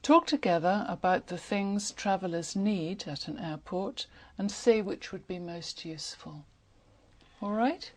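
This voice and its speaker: Husky-voiced woman examiner. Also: mid-range voice, animated. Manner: measured, reads instructions evenly. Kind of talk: reading exam prompts.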